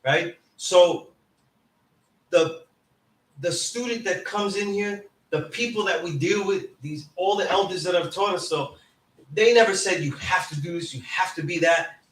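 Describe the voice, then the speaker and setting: A man's voice: high voice, a little slow, fairly bright tone. A man lecturing informally to a class, emphatic and often checking in with "right?". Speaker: teacher. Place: indoor classroom. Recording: echoey room, distant mic, background noise.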